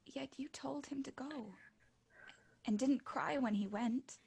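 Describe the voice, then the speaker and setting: A woman acting a passionate, remorseful teenage girl in an audio play, husky and breathy, earnest and emotional. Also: high-pitched, slow, darkish tone, heavily acted. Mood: quiet, humbled.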